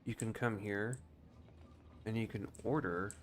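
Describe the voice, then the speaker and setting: Low-voiced man giving casual game commentary. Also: steady tone, a little slow, a crowd in the background. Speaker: video game streamer. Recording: faint background noise.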